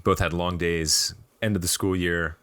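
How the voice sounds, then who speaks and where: An American man with a slightly raspy voice, chatting casually and with some self-deprecation as the host of a video game podcast. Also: deep voice, level tone, a little slow, fairly bright tone, no echo, studio quality.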